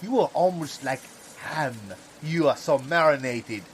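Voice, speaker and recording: Male actor as theatrical insulter, higher male voice, emphatic and deliberate, improvised audio comedy with faint background noise. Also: close to an everyday voice. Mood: grandly insulting. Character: florid, theatrical man trading absurd food insults.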